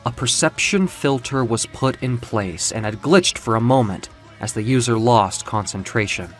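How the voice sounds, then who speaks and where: A man with a mid-pitched voice narrates an audio comic. His delivery is dramatic and deliberate, like staged storytelling. Music plays beneath his words. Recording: music under the voice.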